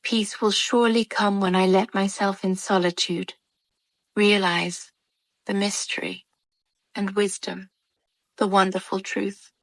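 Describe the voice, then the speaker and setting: A woman leading a guided meditation with soothing, calm narration in short, measured phrases and gentle pauses. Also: mid-range voice, dry recording.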